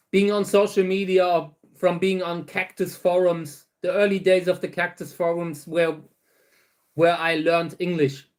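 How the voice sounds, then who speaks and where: High-voiced man chatting on a stream. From Germany. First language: German. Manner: rambling and unhurried, often restarting words. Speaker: host of a livestream channel. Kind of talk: casual livestream chat, answering viewers.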